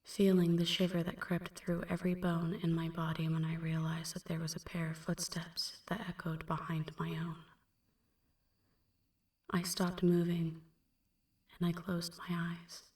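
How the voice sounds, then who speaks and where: A woman playing a melancholy female vampire in an ASMR roleplay, recounting a dark past in a hushed, breathy, measured half-whisper. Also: lowish voice, monotone, roomy sound, recorded from a distance, lightly acted. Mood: somber, haunted. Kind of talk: ASMR roleplay, slow dramatic first-person narration.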